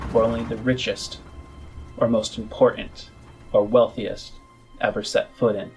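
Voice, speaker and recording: Slow, low-voiced man narrating a game. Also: creaky, poor audio. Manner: halting, measured phrases. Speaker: game master. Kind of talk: improvised tabletop game narration.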